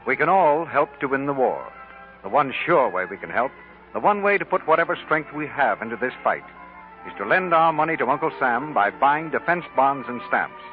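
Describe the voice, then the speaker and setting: A man's voice: medium pitch, animated, normal speed. An American man reading scripted announcements in the formal, emphatic style of 1940s radio. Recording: vintage 1940s radio, muffled, music.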